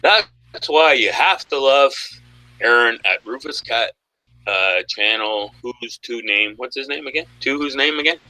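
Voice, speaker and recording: A man with an Irish Canadian accent, talking loud and animated in a casual livestream chat. His voice is very bright and sharp, and he launches into joking, rambling rants. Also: mid-range voice, measured pace, low-quality recording. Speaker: guest.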